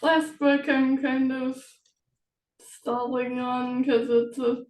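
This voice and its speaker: A woman's voice: sits high, little pitch movement, takes it slowly, a little mellow. A woman author hosting an interview, talking casually about her book series in a hesitant way, often laughing between phrases. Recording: roomy, distant mic.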